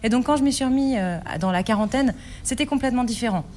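A woman working as an interpreter, relaying a guest's answers quickly and conversationally. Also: fairly high pitch, a little mellow, slight background noise. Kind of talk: live interpretation.

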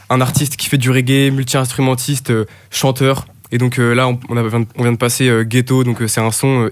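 Animateur radio, plein de euh, ton relax, voix moyenne, son bien sec. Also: male voice, medium pitch, no echo. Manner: filler-heavy, frequent hesitations. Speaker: radio host. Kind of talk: casual live radio presenting.

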